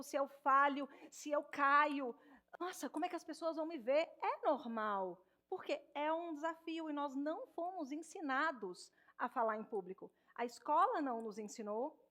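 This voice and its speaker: A woman in her thirties with a Minas Gerais accent, a speech therapist giving an animated stage talk straight to the audience in an auditorium. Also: sits high. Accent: Minas Gerais Portuguese. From Minas Gerais, Brazil. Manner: warm, animated, addresses audience directly.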